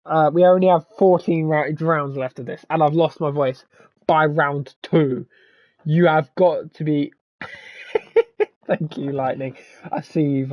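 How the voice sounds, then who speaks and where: A man who commentates races, his voice hoarse and strained from shouting, rambling and stammering through a casual wrap-up. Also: mid-range voice, no room sound. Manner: stammering, rambling, restarts often; swears, laughs at himself. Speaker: race commentator. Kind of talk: casual post-race wrap-up. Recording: dull lo-fi mic.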